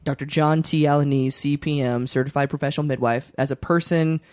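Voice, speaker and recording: Giggly higher-voiced male podcast host. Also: steady tone, brisk, darkish tone, clear, resonant tone, no echo, clean studio sound. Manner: giggly, joking, laughs often. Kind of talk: casual comedy chat.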